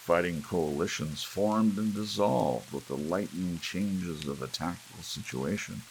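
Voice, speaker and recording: A man's voice: low-pitched, unhurried, creaky, poor audio. A man reading scripted fiction as an ASMR audiobook narrator. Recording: steady rain and hiss behind. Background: soft rain.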